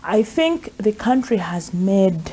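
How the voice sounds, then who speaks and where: A woman in her forties with a Ugandan accent, giving measured, explanatory answers as an interview guest. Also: mid-range voice, big pitch swings, mellow, a little hiss or hum. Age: 40s. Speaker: interview guest, charity director. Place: indoor sitting room. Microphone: lapel mic.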